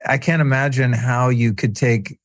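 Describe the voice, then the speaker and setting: Brief: male podcast host, mid pitch, chatty and curious, dry close sound. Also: fairly even intonation, normal speed, no echo. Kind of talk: casual podcast interview.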